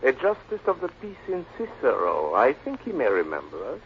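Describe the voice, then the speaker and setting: Smug smooth suitor, lively sing-song voice, muffled old radio. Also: male voice, mid-range voice, a little fast. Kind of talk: 1940s radio acting. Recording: dull old radio broadcast with hiss.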